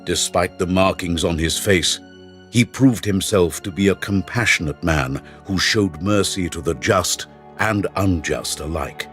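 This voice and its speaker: A deep-voiced, grave mentor figure from a fantasy game. He speaks with portentous weight, like a guide and storyteller, with music playing prominently underneath. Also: unhurried, fairly bright tone. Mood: solemn storytelling. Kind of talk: dramatic fantasy game dialogue and narration.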